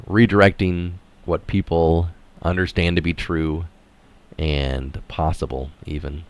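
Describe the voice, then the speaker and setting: A man's voice: low voice, darkish tone. A man reading an article aloud in narration style, dropping in casual, opinionated asides of his own. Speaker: reader of an article adding his own comments.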